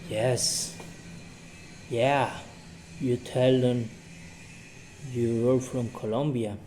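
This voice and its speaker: A man's voice: mid-range voice, steady tone, very slow, mellow, slight background noise. A man teaching English by reading a short story and drilling it with questions he asks and answers himself, repeating each sentence.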